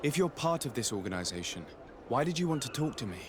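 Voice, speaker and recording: A man acts out a noble envoy in a video game. He is composed and courteous, with formal, measured speech in a mid-range voice. Clear outdoor noise sits behind him. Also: mid-range voice, very animated, natural voice. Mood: probing. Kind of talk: video game cutscene acting.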